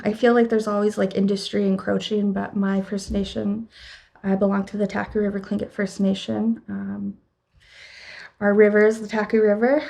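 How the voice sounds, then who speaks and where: A young woman from western Canada, a guest in an interview at a conference, talking in a thoughtful, halting way with many fillers and restarts. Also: mid-range voice, vocal fry, a little mellow. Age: young adult. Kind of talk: casual interview talk. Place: conference venue.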